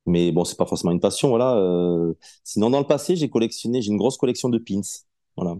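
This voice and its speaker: A man in his forties, a podcast guest chatting casually, relaxed and hesitant with many fillers and restarts. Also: fairly low pitch, fast talker, dry recording, clean studio sound. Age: 40s. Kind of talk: casual interview chat.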